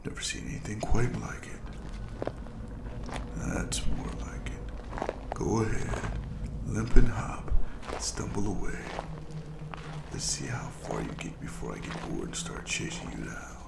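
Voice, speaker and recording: A man playing a cruel, gloating shapeshifting monster who taunts its prey in a breathy, whispery voice, in a scripted audio roleplay. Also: low-pitched, wildly swooping pitch, reverberant, very noisy, exaggerated voice. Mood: taunting, menacing. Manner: taunting, mock-sympathetic, drawn-out delivery.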